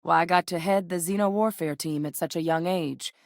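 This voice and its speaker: A composed female military scientist for a video game. Her voice is low-pitched and recorded very dry. She speaks in a measured, serious way, with the earnest weight of a commander. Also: fairly even intonation, gravelly, no echo. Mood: grave, resolute. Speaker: voice actress.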